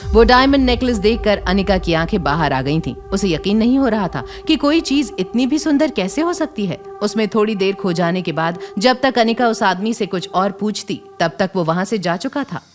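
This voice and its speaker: Lady narrating audio drama, medium pitch, really expressive, soft music under her. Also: moderate pace, few pauses. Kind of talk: dramatic scripted story narration.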